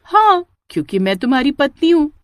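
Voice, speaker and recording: A woman's voice: high-pitched, very animated, a little fast, no room sound, studio quality. A woman voicing a jealous, possessive young female ghost bride in a cartoon horror story, insistent and confrontational.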